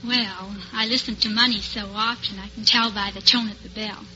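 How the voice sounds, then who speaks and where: A woman's voice: mid-range voice, steady tone, moderate pace, pushed and bright, dull audio, a little hiss or hum. An American woman being interviewed as a quiz show contestant, giggly and a little hesitant, on an old-time radio recording. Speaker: contestant, telephone operator.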